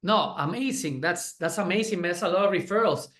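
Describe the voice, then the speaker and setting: A man's voice: sits high, a little fast, fairly bright tone, reverberant. A man with a Puerto Rican Spanish accent, a chiropractor and clinic owner, giving an effusive testimonial and often restarting his words. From Puerto Rico. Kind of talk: enthusiastic testimonial chat.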